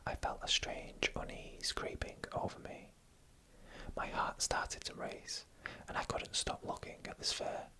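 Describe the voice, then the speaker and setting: A narrator whispering eerie first-person stories in ASMR style, in a hushed, deliberate, suspenseful manner.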